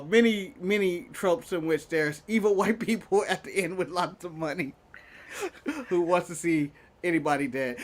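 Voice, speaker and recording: High-voiced man co-hosting, quick to laugh. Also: fairly bright tone. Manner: laughs often, jokey banter. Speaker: podcast co-host. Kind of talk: casual chat.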